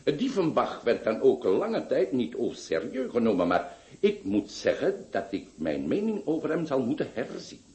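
A man's voice: medium pitch, wildly swooping pitch, normal speed, a little mellow. A grandiloquent, eccentric doctor for a radio play. His voice leaps wildly up and down as he lectures and scolds. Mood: lecturing. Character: pompous eccentric male doctor, theatrical. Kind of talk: radio drama acting.

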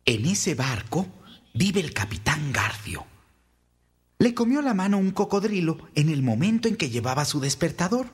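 A woman's voice: deep voice, very animated, normal speed, breathy edge, voice pushed down, full character voice. A woman voicing a spirited, adventurous young boy in a children's storybook, with dramatic, emphatic delivery. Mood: grave warning. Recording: long hall-like reverb.

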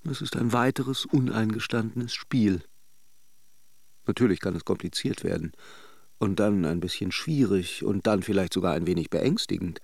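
An actor playing a flirtatious, charming Russian philanderer who teases and muses, in a radio drama. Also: male voice, on the low side, normal speed, gravelly, darkish tone, no room sound. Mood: musing, wry. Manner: teasing and joking, musing when alone. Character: playful, flirtatious Russian philanderer, charming but privately reflective. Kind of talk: radio drama acting in intimate dialogue and monologue.

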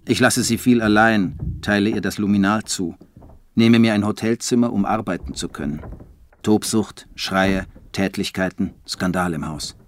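An actor playing a weary writer, narrating a bleak diary in a terse, measured way for a radio drama. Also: male voice, lowish voice, close to an everyday voice. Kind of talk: literary first-person radio drama narration. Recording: faint background noise.

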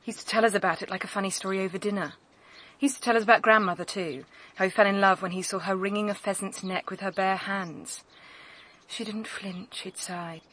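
A woman's voice: medium pitch, fairly even intonation, fairly quick, a bit bright, natural voice. A woman playing a guarded, fearful but frank young woman in a radio drama, in an intimate manner. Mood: uneasy, frank. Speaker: actor.

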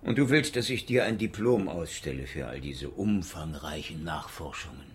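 An actor playing a hard-drinking, sardonic male private detective in a radio drama, gruff, mocking and deadpan. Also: a bass voice, normal speed. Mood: sarcastic, irritated.

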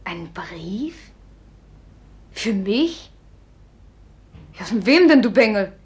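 A proud young society lady in a radio drama. Her voice is breathy, with an imperious, suspicious edge and theatrical delivery. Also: medium pitch, sing-song, very slow, full character voice. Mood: startled, imperious. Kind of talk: German radio drama acting.

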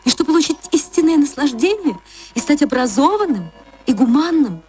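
A woman reading a short story for radio as an actress, in expressive literary narration. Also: high-pitched, moderate pace, slight background noise. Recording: music under the voice.

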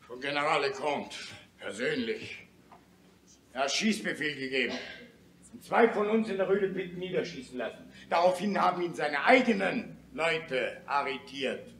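A man's voice: on the high side, lively intonation, normal speed, fairly bright tone, reverberant. A man playing an earnest young revolutionary son in a German radio play, speaking urgently and emphatically. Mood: breathless reporting.

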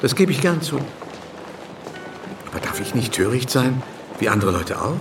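A man's voice: medium pitch, sing-song, normal speed, far from the mic, music in the background. An actor playing a petulant, self-pitying French king in a radio drama.

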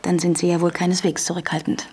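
Low-voiced, sharp-tongued critic. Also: female voice, flat delivery, husky. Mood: cool, candid. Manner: teasing, brisk, combative. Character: sharp-tongued, self-assured female literary critic, combative and teasing. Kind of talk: German radio drama acting.

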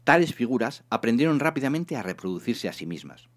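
A man narrating a comic story in a theatrical, expressive scripted reading. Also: mid-range voice, brisk. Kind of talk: dramatised comic audiobook narration.

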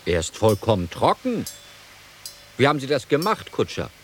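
An actor playing a gallant, courteous sea captain in a radio play, theatrical and expressive in his speech. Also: mid-range voice, normal speed, a little hiss or hum. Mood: courteous, concerned. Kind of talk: German radio play acting.

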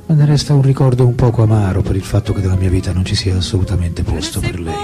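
Radio drama: male actor as a French intellectual, low voice, candid and intimate, theatrical delivery over clear music. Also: fairly even intonation, quick pace, natural voice. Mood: tender, confessional. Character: French philosopher-writer reminiscing and reading his love letters, candid. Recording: music behind the voice.

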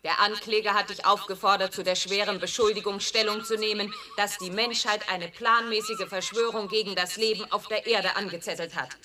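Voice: medium pitch, steady tone, fairly quick, hardly any pauses, pushed and bright, natural voice. A performer playing an officious animal judge in a radio play, speaking formally, pompously and strictly. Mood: stern, formal. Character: officious animal judge presiding over a trial. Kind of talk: German radio play acting. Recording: slightly noisy vintage radio recording.

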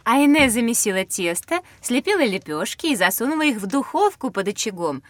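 A woman's voice: fairly high pitch, very animated, a little fast, no echo. A woman playing an attentive, inquisitive young pupil who describes what is around and asks questions in an educational audio drama. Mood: curious, attentive.

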